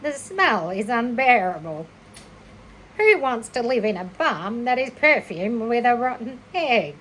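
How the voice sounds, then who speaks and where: A woman's voice: on the high side, sing-song, a little slow, a bit bright, a little hiss or hum, low-quality recording. A woman voicing a complaining young lamb from a children's storybook, fussy and grumbling.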